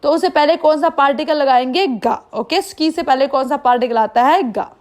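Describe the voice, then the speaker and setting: A woman's voice: sits high, moderate pace, darkish tone. A woman with an Indian accent teaching a language lesson, explaining didactically and often posing questions that she answers herself.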